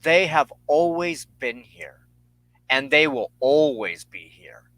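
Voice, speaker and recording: A man speaking as a spiritual teacher, delivering a sermon-like lecture in solemn, measured, rhetorical phrases. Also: a little high, big pitch swings, a bit bright, no room sound. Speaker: spiritual teacher and show host.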